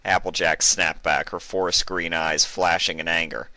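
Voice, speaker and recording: Deep, bright-voiced male story narrator. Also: flat delivery, normal speed, no echo. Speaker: narrator of fan fiction. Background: music.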